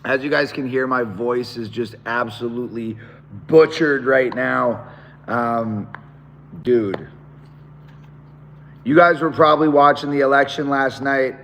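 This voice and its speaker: A man with a hoarse, worn-out voice, chatting excitedly to viewers on a live stream. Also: medium pitch, a little slow, long pauses, in a large hall, slight background noise. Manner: excited, talks straight to viewers. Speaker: musician hosting a live stream. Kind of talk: casual live-stream chat.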